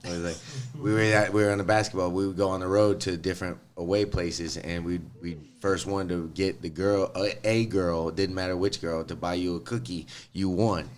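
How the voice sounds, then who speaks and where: A man's voice: deep voice, fairly even intonation, normal speed, a little hiss or hum. An American man chatting casually among friends, informal and slangy with some swearing. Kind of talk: casual group banter.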